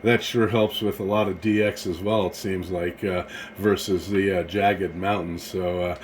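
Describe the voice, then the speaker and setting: A man's voice: deep voice, flat delivery, moderate pace, slight background noise. An American man chatting casually as an amateur radio operator, in a hesitant, rambling way. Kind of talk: casual ham radio conversation.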